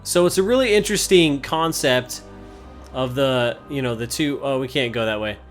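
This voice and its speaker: A man hosts a gameplay video in an informal, conversational way. His voice is medium in pitch, and game music plays behind his commentary. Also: moderate pace. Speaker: game reviewer and host.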